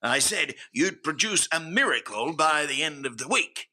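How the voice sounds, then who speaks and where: An actor playing a pompous, impatient upper-class customs chief in an old-time radio drama, blustering and demanding. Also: male voice, fairly high pitch, expressive, moderate pace, sharp tone, full character voice. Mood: indignant, demanding.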